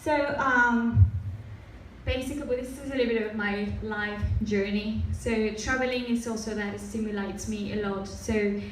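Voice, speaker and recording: A young woman from Mexico, a native Spanish speaker with a Mexican accent softened by Australian years, giving a casual design talk full of fillers and restarts. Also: a little high, fairly even intonation, normal speed. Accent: Mexican, with some Australian. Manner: many fillers and restarts, loose and chatty. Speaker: design presenter. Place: event room. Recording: roomy, distant, faint noise.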